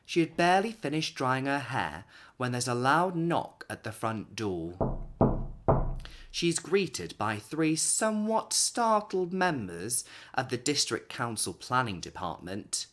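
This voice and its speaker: A man's voice: medium pitch, a bit bright. A man, reading a picture book aloud expressively for young children. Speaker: children's storyteller and host.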